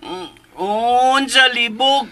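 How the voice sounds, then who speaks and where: A man playing a childlike, simple-minded young man in a Filipino radio drama, with halting babyish speech full of hums and a Filipino accent. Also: extremely high pitch, sharp tone, full character voice. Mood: puzzled.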